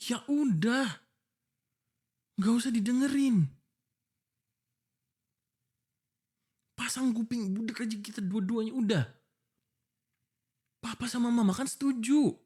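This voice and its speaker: A man's voice: very high voice, normal speed, pauses often, mellow, slightly rough. A man playing an exasperated, jealous young fiancé in a boyfriend audio roleplay, arguing emotionally with pointed questions. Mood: firm, dismissive. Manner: emotional, argumentative, pleading, full of pointed questions. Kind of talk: boyfriend ASMR roleplay acting.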